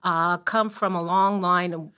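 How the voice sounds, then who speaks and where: A woman's voice: lowish voice, steady tone, measured pace, bright, forceful tone. Woman in her sixties telling family stories. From Montreal, Canada. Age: 60s. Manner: conversational storyteller, frequent "uh" and "right?". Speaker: guest. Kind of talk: casual interview. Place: community studio.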